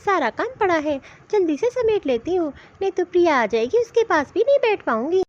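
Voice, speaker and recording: A woman's voice: extremely high pitch, sing-song, brisk, darkish tone. A woman voicing a fussing, affectionate mother-in-law in a comedy cartoon story, with slightly dull sound. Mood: busy, musing.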